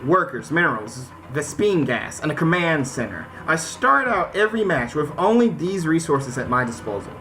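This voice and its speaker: Casual American male game streamer, slightly high and lively, stammery delivery while reading and commenting. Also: normal speed, few pauses, poor audio. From United States. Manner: informal, stammering, repeats words. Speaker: gaming video host. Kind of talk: casual let's-play commentary, reading game text aloud. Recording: music behind the voice.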